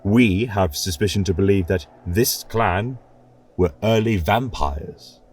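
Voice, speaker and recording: A man narrating a dark fantasy history in the manner of a lecturer, with theatrical, ominous pauses. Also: deep voice, faint music behind. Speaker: storyteller posing as a history lecturer. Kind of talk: dramatic fantasy storytelling.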